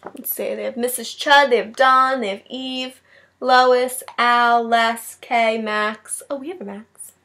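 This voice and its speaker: A woman's voice: on the high side, steady tone, slow, pushed and bright. A woman teacher reading a picture book aloud expressively, with casual asides to her class.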